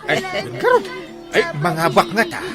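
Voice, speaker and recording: A performer playing an excitable, nosy village gossip in an Ilocano comedy radio drama, full of exclamations. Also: medium pitch, normal speed, crowd noise. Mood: excited. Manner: exclamatory, swooping delivery. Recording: music bed under the voice.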